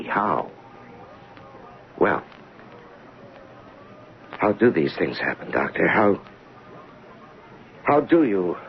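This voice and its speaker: An actor playing an embittered, suspicious advertising executive in an old-time radio drama, sarcastic and full of agitated questions. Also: male voice, a bass voice, creaky, full character voice. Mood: weary, brooding. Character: embittered, suspicious advertising man, husband unburdening to his doctor. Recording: dull, noisy old radio recording.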